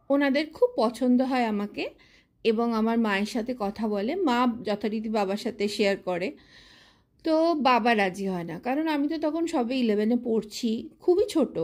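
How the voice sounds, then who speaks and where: A woman's voice: on the high side, a little mellow. Chatty woman in her fifties. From Kolkata, India. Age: 50s. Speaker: storyteller. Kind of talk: personal storytelling to viewers.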